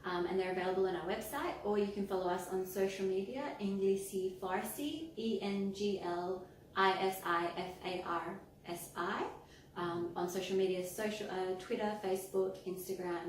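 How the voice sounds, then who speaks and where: A woman's voice: mid-range voice, fairly even intonation, moderate pace. A woman with a Persian Australian accent, a pharmacist and author, earnestly explaining her work in an interview with many ums. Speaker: guest, children's book author and pharmacist. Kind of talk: interview answers. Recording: very echoey room, distant mic, faint noise.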